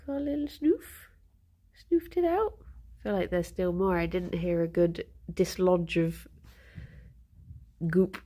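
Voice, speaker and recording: A woman's voice: lowish voice, a little slow, long pauses. A woman with a blocked, congested nose, streaming from home, chatting casually and greeting her viewers by name. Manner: chatty, greets viewers by name, giggly, soft baby talk to pets. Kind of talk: casual morning stream chat.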